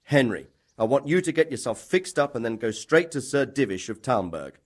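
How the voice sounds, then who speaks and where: A man acting a composed, commanding medieval lord in a video game, measured and formal. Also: mid-range voice, very animated. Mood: decisive.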